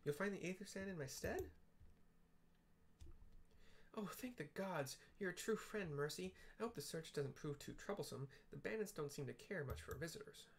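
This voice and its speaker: Man doing a high, stammering little engineer. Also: animated, moderate pace, gravelly, slight background noise. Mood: grateful. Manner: stammering, nervous, fussy politeness. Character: small timid male Lalafell engineer, flustered.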